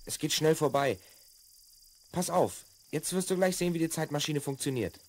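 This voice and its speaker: A man's voice: fairly high pitch, moderate pace, a little mellow, lightly acted. An actor playing a confident twelve-year-old boy from the future in a children's audio drama, explaining and instructing with assurance. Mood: explanatory, assured. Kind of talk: 1970s German children's audio drama acting.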